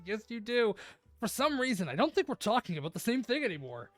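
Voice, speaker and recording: A woman narrates a visual novel for a stream, slipping into casual, playful chat. Her pitch moves in a lively way, and faint music sits underneath. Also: quick pace, no room sound. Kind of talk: expressive read-aloud narration with casual chat.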